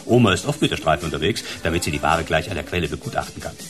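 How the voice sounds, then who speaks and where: A man's voice: a bass voice, animated, fairly bright tone, soft background music. A man playing a chatty, shifty bar owner in a radio play, offhand and gossipy. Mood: gossipy, evasive. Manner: gossipy, offhand, quick-talking. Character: chatty, shifty male barkeeper in a border town.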